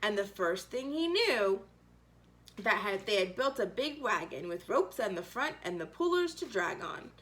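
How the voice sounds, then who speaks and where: A woman reading a rhyming children's story aloud, expressively, with theatrical emphasis and drawn-out phrases. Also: mid-range voice, big pitch swings, a bit bright, a little hiss or hum.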